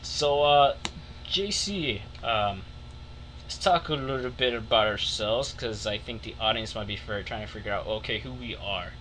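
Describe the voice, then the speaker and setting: A man's voice: fairly low pitch, moderate pace, bright, forceful tone, a little hiss or hum. A young man co-hosting a casual podcast, teasing and jokey, on a cheap microphone. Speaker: podcast co-host. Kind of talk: casual chat.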